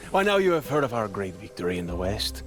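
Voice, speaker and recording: An actor playing a boastful, eager military nephew of a dictator in a video game, with a Latin American Spanish accent. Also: male voice, on the low side, very animated, moderate pace, music in the background. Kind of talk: dramatic video game cutscene acting.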